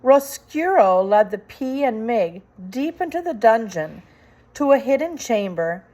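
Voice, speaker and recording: Slow, deliberate woman storyteller. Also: fairly high pitch, very animated, warm tone. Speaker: storybook reader. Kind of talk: scripted dramatic storybook narration.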